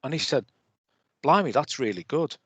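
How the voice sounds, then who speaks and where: A man, a poetry magazine co-editor, talking casually on a panel and telling stories with lively quoted asides. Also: mid-range voice, normal speed, husky, no room sound. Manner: chatty, anecdotal, quotes himself animatedly.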